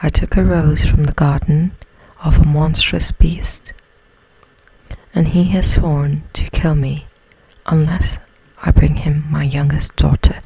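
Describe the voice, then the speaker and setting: Woman whispering as a breathy, loving father. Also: deep voice, little pitch movement, slow, mellow, dry recording, heavily acted, low-quality recording. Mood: sorrowful. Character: weary, loving, self-sacrificing old father.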